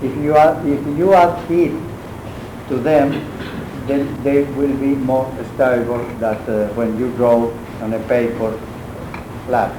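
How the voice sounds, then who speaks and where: A man with a Mexican accent and Spanish as his first language, giving an art lecture in English, halting and searching for words. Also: medium pitch, fairly even intonation. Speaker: guest painter lecturing. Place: university lecture room. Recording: echoey classroom with noise.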